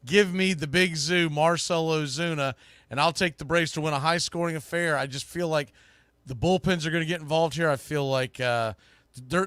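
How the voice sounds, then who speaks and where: A man hosting a sports podcast with casual live commentary, rambling and halting as he thinks aloud. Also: a little high, sharp tone, clean tone, no echo.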